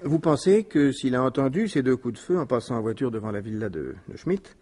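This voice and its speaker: An actor playing a tactful police inspector in a French radio drama, questioning politely and carefully. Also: male voice, medium pitch, big pitch swings, fairly quick, a little mellow, slightly rough. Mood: probing, tactful. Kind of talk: 1950s-style French radio detective drama acting.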